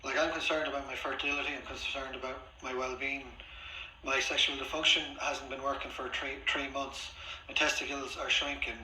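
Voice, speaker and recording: A man's voice: medium pitch, level tone, fairly quick, bright, forceful tone. A man arguing his case in a phone conversation as a patient, insistent, repetitive and complaining. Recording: echoey, faintly noisy room, recorded phone call.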